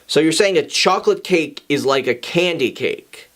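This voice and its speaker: A sensible teenage schoolboy, read out by a man, with a slightly high male voice. He sounds exasperated, firm and dismissive, like the responsible one in a fan fiction story. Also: lively intonation, moderate pace, fairly bright tone. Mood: firm, skeptical. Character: sensible, exasperated teenage boy student, supervising friends.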